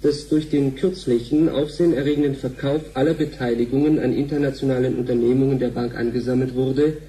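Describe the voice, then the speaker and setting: A man playing a sober news reader, delivering a formal, measured bulletin in a sci-fi radio drama. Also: medium pitch, steady tone, mellow, natural voice. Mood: sober, official. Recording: very dull sound, faint noise.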